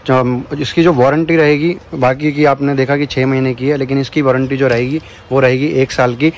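A man's voice: medium pitch, steady tone, moderate pace. Guy vlogging in a packed shop on his phone, chatty, keeps repeating stuff back to the camera, bit of background hum. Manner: chatty, repeats the seller's answers to viewers. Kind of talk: casual shop-tour vlog. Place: crowded cooker shop, indoors.

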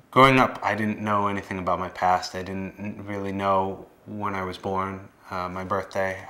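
An American man in his late twenties giving personal testimony to camera in a plain-spoken, measured way, with some hesitant phrasing. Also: low-pitched, bright, forceful tone. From Boston area, United States. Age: late 20s. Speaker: documentary subject telling his life story. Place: living room, indoors.

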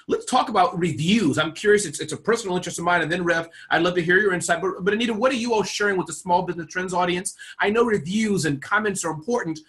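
Fast-talking, high-pitched male interview host. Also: a bit bright. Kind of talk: interview moderation, casual. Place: indoor room on a video call.

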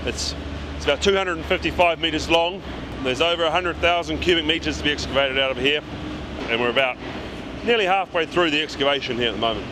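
Higher-pitched British man, noisy site. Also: brisk. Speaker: construction project manager. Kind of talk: informal on-site project update to camera. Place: large underground station construction site. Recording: clear machinery and site noise behind.

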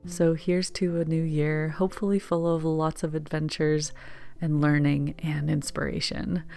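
A woman, a landscape painter, calmly narrating a reflective voice-over for her painting video. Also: sits low, a little slow, gravelly, soft background music.

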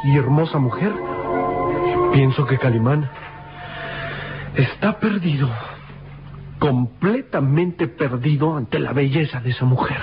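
An actor playing a wry, musing young sidekick in an old radio serial. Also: male voice, mid-range voice, a little slow, long pauses, lightly acted. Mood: amused, musing. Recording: muffled old radio recording, loud music.

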